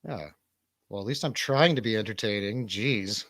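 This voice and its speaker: A man's voice: on the low side, lively intonation, normal speed, a bit bright, dry recording, studio quality. An American man co-hosting a comedy radio show as a DJ, chatting casually in rambling, profane, joking banter.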